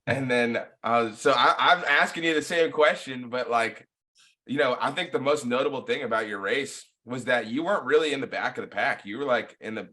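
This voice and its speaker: A man's voice: medium pitch, brisk, bright, forceful tone. A man hosting a podcast in a laid-back casual chat, with frequent filler words. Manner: laid-back, lots of "like" and "you know" fillers. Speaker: podcast host.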